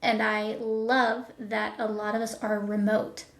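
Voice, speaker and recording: A woman's voice: mid-range voice, level tone, a little slow. A woman chatting casually and emphatically as an enthusiastic podcast guest who produces indie audio drama. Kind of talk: casual interview chat. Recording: roomy, echoing voice.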